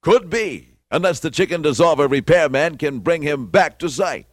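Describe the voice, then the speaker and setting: An actor playing a grandiose mock-heroic announcer, narrating a radio serial with pompous drama. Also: male voice, a little high, expressive, moderate pace, dry recording.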